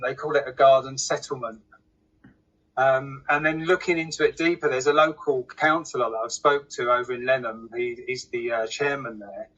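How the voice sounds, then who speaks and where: A man's voice: mid-range voice, bright, forceful tone. A man explaining things casually, in a plain, hesitant, conversational way. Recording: slightly dull lo-fi sound.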